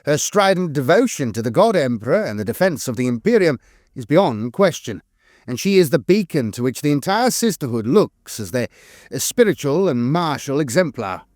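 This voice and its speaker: Higher-pitched man narrating, solemn and dramatic. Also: very animated, normal speed, darkish tone, no room sound, studio quality. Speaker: narrator of a lore channel. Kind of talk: scripted dramatic lore narration.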